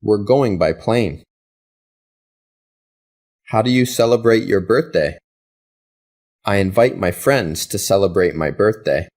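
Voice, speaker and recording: A man reading practice questions and answers for an English lesson in a slow, deliberate way. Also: lowish voice, a little mellow.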